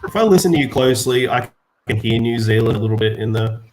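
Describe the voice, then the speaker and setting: A man's voice: medium pitch, expressive, fast talker, a little mellow, slight background noise, rough recording. A man co-hosting a drawing stream, joining in the casual chat with dry, teasing remarks.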